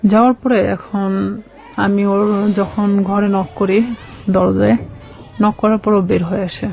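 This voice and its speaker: Bangladeshi woman guest telling her life story, kinda halting, repeats herself, bit muffled lo-fi radio sound. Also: mid-range voice, little pitch movement, vocal fry, faint music behind. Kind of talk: personal storytelling in an interview. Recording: slightly dull, lo-fi radio sound.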